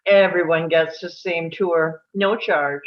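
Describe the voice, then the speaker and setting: A woman's voice: lowish voice, steady tone, slow pace. Woman playing matter-of-fact nurse, slightly dull audio. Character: calm, matter-of-fact psychiatric nurse showing a newcomer around. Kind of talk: table read of a screenplay.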